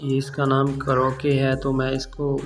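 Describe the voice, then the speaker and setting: A man's voice: medium pitch, monotone, measured pace, faint music behind. A man hosting a casual tech app tutorial.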